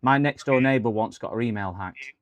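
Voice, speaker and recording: A man's voice: mid-range voice, brisk, dry recording, lo-fi sound. A British man chatting casually on a repair livestream, rambling in a jokey, mock-indignant manner. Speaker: streamer doing electronics repairs. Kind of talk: casual livestream chat and prank phone call.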